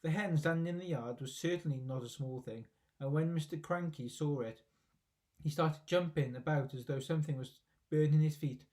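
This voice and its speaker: A man's voice: fairly high pitch, normal speed, darkish tone. A man narrating a children's story expressively, as a reader reading aloud from a book.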